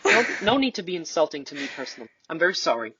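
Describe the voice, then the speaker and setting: A man improvising a role-play as an exasperated, pushy shop customer service worker, with halting, repetitive sales patter. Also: fairly high pitch, very animated, bright, forceful tone, full character voice. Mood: flustered, insistent. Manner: halting sales patter, repeats himself, turns self-pitying. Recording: dull lo-fi, distant mic.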